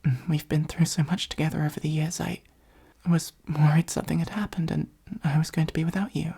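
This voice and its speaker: A woman acts out a possessive supervillain with a soft spot for their nemesis in an ASMR roleplay. The voice is low and very breathy, often close to a whisper, with some gravelly creak. The delivery is intimate, hesitant and earnest, with a dry, wry edge. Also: little pitch movement, brisk, exaggerated voice. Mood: tender, concerned. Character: possessive, dramatic supervillain secretly fond of their hero nemesis.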